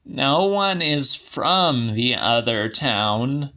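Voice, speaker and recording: A man voicing a stubborn, scornful old woman in a dramatic audiobook, speaking slowly and emphatically. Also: medium pitch, lively intonation, pushed and bright, voice pushed up, full character voice. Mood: scornful, insistent.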